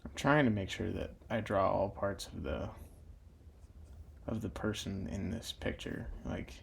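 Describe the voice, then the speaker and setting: A man's voice: low-pitched, steady tone, unhurried, creaky, a little hiss or hum. A young man talking casually while drawing for his own video channel, meandering with many fillers and restarts. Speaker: drawing YouTuber.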